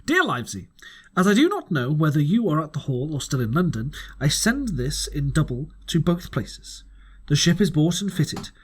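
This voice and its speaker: Storybook squire, played by a male reader: grandiose, excitable and emphatic, with big pitch swings and a rough-edged voice. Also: moderate pace. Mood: eager, pleased. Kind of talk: storybook letter read aloud.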